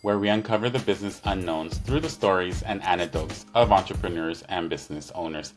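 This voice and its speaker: A man's voice: low-pitched, brisk, soft background music. A man hosting a business podcast, chatty and friendly, often tagging questions with "right?"; Spanish was his first language. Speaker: podcast host. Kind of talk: conversational interview.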